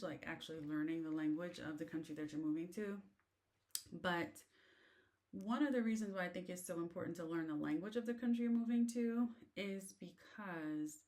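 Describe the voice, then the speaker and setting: A woman's voice: fairly low pitch, darkish tone. An American woman talking casually and rambling with lots of fillers, as she shares advice on living abroad. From United States. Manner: chatty, rambling, many fillers. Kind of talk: casual talk to camera. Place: in a car.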